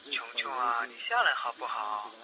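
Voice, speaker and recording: A man's voice: medium pitch, moderate pace. A man acting an affectionate, coaxing caller in an audio drama, heard through a phone effect. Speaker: supporting actor in a Chinese audio drama. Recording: dull, noisy phone-call sound.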